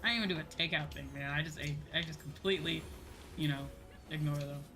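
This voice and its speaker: A young American woman livestreamer chatting casually, animated and sweary, acting out exchanges with exasperation. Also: deep voice, fast talker. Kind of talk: casual chat while gaming on stream. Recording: background music under the voice. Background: music.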